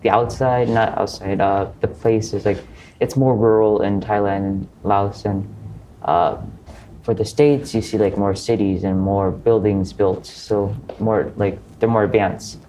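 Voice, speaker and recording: A young man with a slightly low voice talks hesitantly in a relaxed discussion, stopping to fix his words. A faint hiss sits behind him. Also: measured pace, low-quality recording. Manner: hesitant, many fillers and self-corrections. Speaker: student panelist. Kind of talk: casual panel discussion.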